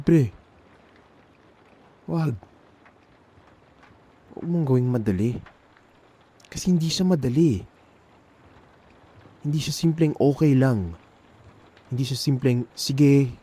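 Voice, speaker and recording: A young man with a Filipino accent performing an intimate ASMR roleplay as an emotional best friend, speaking colloquially and haltingly, with pauses. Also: mid-range voice, mellow.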